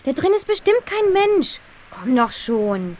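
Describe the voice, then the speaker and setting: Bold, curious young girl sleuth, high and excitable, 1970s children's radio play. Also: a little slow, dull audio. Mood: eager, bold.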